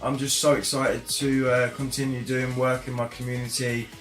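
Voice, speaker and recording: A young man giving an enthusiastic testimonial about a programme, halting with frequent restarts. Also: medium pitch, monotone. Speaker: programme participant. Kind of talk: unscripted testimonial. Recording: roomy echo with faint background music.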